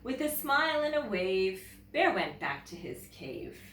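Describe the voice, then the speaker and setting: Animated Canadian woman storyteller in an echoey room. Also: medium pitch, recorded from a distance, slight background noise. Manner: expressive, deliberate storytelling. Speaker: teacher reading stories to children. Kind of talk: children's storybook read-aloud with casual introduction. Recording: roomy echo, away from the mic.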